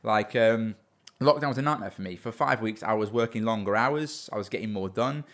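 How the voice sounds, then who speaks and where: A young man in his twenties speaks quickly and earnestly in a relaxed interview. His voice sits a little low. He often restarts and doubles up words before pressing on. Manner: fast, earnest, repeats words when restarting. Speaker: business owner guest. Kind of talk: casual interview talk.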